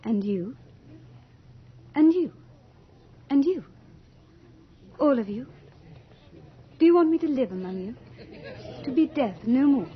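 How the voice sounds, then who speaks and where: An actress playing Death as a beautiful, imperious lady in a theatrical radio drama, speaking in a grand, coquettish, deliberate way. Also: high voice, lively intonation. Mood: probing, exultant. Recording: muffled vintage radio drama recording.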